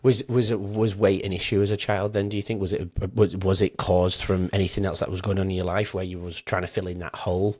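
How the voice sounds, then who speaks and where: A man hosting a casual interview podcast, reflective and halting as he works his way into questions. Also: deep voice, flat delivery, a little mellow.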